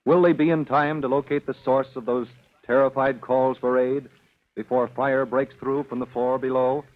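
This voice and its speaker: A man's voice: medium pitch, little pitch movement, normal speed. A man narrating an old-time radio adventure serial as its announcer, in a dramatic scripted style. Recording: thin, narrow-band old radio recording.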